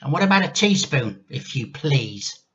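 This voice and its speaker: A man's voice: mid-range voice, big pitch swings, normal speed, a bit bright, highs cut off, voice pushed up. A man voicing a grumpy, bossy, selfish old grandmother in a children's storybook, complaining and snappish. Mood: demanding, snappish. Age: older.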